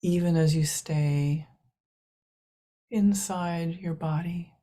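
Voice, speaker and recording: Slow, low-voiced woman guiding meditation. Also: fairly even intonation. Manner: soft, hushed, long pauses between short phrases. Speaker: meditation guide. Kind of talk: guided meditation.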